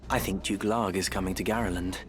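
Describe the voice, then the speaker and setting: Man as a shrewd young cadet, low-voiced. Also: steady tone, brisk, soft background music, natural voice. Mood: knowing. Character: shrewd, level-headed young male cadet knight.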